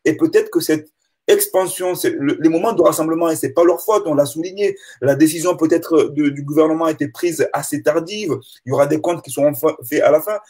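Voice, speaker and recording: A man in his forties with a husky, slightly high voice asks a guest long, winding questions. He often pauses to search for words and keeps circling back to rephrase. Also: a little mellow. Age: 40s. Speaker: interviewer.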